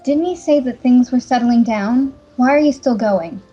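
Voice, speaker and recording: A woman's voice: on the high side, normal speed, warm tone, husky, muffled recording, faint music behind. A woman voicing a righteous, scornful human champion in an amateur game fandub. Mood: defiant.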